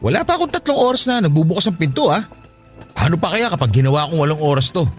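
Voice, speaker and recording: Broke defensive dad, brusque, talks fast, kinda high pitch that jumps around, radio drama. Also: male voice, big pitch swings, faint music behind. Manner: brusque, argumentative, self-justifying. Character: defensive, exasperated poor father scraping for money. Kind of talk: radio drama acting in Tagalog.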